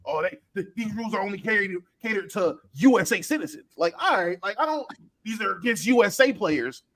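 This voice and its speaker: A man trading takes on a casual group podcast in a high, animated voice. He rattles off quick lines, his pitch swooping up whenever he's incredulous. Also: slight background noise. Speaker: podcast co-host.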